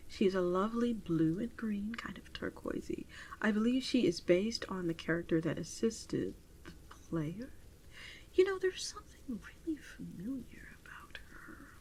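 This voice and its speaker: A woman playing a gentle, doting virtual pet adoption clerk in a soft-spoken ASMR roleplay, often slipping into a whisper, unhurried and attentive. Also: mid-range voice, a little hiss or hum.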